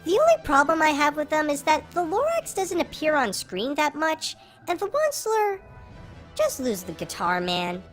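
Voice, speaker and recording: High pitch-shifted reviewer voice, very animated intonation, opinionated scripted film commentary, exasperated emphasis, faint music behind. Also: moderate pace. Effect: artificially pitch-shifted voice.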